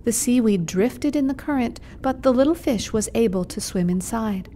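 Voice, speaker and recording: A woman storyteller narrating a scripted children's puppet show in a measured, expressive way. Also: a little high, a little hiss or hum.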